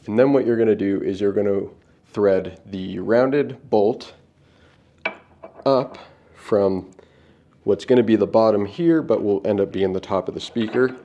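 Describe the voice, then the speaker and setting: A man's voice: lowish voice, a little slow, warm tone, highs cut off. A man hosting an unboxing, giving casual, plain-spoken step-by-step setup instructions. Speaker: product unboxing host.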